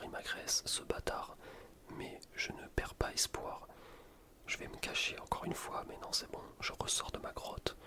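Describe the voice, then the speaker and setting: A man speaks entirely in a breathy, unvoiced whisper. He gives ASMR commentary on a video game in a casual, slangy way, poking fun at himself as he goes. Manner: casual, slangy, self-deprecating. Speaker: gaming ASMR video host.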